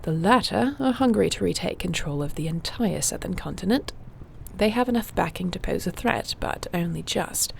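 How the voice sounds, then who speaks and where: A woman playing a gentle, wry warrior shifter with healing magic in an intimate fantasy audio roleplay, speaking softly and soothingly without hurry. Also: fairly low pitch, animated, moderate pace, creaky, slight background noise. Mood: grave, explanatory.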